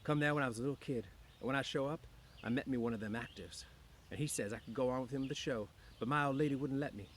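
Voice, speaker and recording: Restless young country wife, played by a male narrator: bitter, dreamy, chatty, slangy, pitched up, faint noise. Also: quick pace, few pauses, close to an everyday voice. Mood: boastful, wistful. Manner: rambling, slangy, uneducated rural speech. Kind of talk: audiobook character voice.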